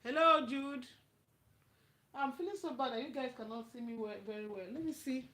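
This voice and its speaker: A woman's voice: sits high, moderate pace, pushed and bright. A Nigerian woman hosting a casual live chat, speaking directly and repeating her phrases for emphasis. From Anambra State, Nigeria. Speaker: livestream host giving relationship advice. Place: dim room at home.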